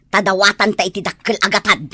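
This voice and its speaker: A woman's voice: medium pitch, fairly even intonation, quick pace, bright, forceful tone, husky, no room sound. A woman playing an irritable, demanding, spoiled woman in an Ilocano radio drama. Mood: irritated.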